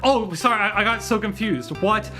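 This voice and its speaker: A man's voice: sits high, moderate pace. Rambling man streaming over game music. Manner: rambling, thinking aloud, mild swearing. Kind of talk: casual live game commentary.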